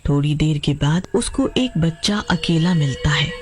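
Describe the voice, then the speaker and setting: A woman's voice: deep voice, animated, moderate pace, husky. A woman narrating a scripted story as a storyteller. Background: music.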